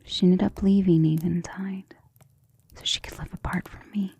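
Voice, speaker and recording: A woman voicing a centuries-old vampire lover in an ASMR romantic roleplay, soft, breathy and often whispering, intimate and gentle, with formal wording. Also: lowish voice, steady tone, normal speed, full character voice. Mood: wistful. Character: centuries-old female vampire lover, tender, protective, self-assured.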